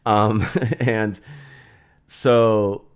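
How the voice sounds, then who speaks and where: Very low-voiced man, casual demo. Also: level tone, extremely slow, clean tone. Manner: loose, rambling, thinking aloud. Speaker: plugin reviewer and host. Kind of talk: casual software demo commentary.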